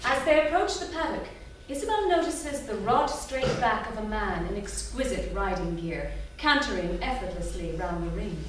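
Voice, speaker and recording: A woman's voice: mid-range voice, lively intonation, moderate pace. A woman reading scripted stage directions as narration. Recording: echoey theatre from far off, noisy.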